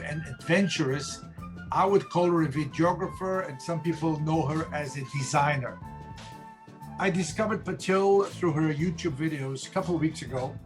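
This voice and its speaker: A man hosting an interview, introducing his guest in a formal, deliberate way. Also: a little high. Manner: formal, listing praise deliberately. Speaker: host.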